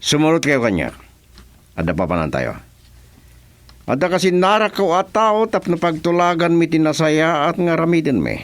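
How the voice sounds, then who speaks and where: A man's voice: a little high, big pitch swings, normal speed. A man acting a scheming, domineering boss in an Ilocano radio drama. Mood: bossy. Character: scheming domineering male boss, smug with underlings.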